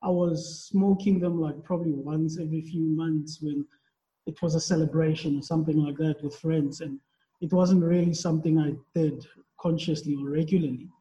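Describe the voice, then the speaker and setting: A man's voice: fairly high pitch, steady tone, moderate pace, warm tone, rough recording. A man hosting a podcast, asking questions with curiosity and a casual, searching way of talking. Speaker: podcast host. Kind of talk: casual interview chat.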